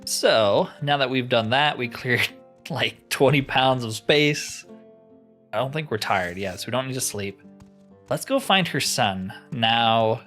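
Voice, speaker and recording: A man's voice: medium pitch, a little slow, fairly bright tone, soft background music. A man giving casual let's play commentary, chatty and informal as he thinks aloud. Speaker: gaming channel host.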